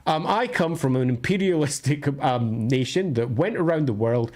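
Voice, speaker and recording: A man's voice: mid-range voice, lively intonation, normal speed, darkish tone. Scottish man chatting on a livestream. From northern Scotland. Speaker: livestream host answering viewer questions. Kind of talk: casual livestream chat, thinking aloud.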